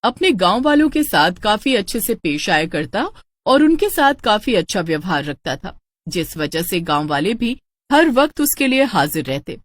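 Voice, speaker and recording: A woman's voice: mid-range voice, big pitch swings, moderate pace, no echo. A woman storyteller reading scripted narration for a cartoon tale.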